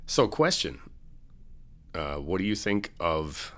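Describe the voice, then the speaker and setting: Man playing an easygoing male friend, lowish pitch, casual, reassuring, helpful, conversational ASMR roleplay. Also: very animated, takes it slowly, a bit bright, heavily acted. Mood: upbeat, reassuring.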